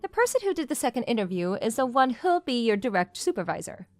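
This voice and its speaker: A woman's voice: on the high side, very animated, quick pace, darkish tone, dry recording. A young woman playing a confident, pushy female CEO who teases her junior in a manga dub romance. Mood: confident, teasing. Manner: straightforward, teasing, self-assured.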